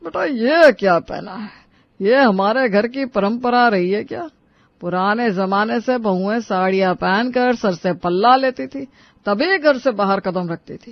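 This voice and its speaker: A man's voice: sits high, vocal fry, dry recording. A man playing an old-fashioned, taunting elderly father-in-law in a family story, grumbling and demanding. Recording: dull lo-fi audio.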